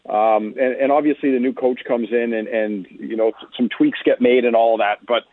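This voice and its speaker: Over a narrow, phone-like radio line, a man with a medium-pitched voice gives sports analysis as a guest. He speaks conversationally and explains his points in a measured way. The sound is dry, with no room echo. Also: fairly even intonation.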